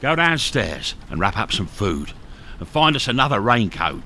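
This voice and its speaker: An actor playing a gruff, impatient, secretive criminal who is wounded and in pain, in a radio crime drama. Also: male voice, on the low side, sing-song, normal speed, bright, forceful tone, slight background noise, full character voice. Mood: urgent, irritable.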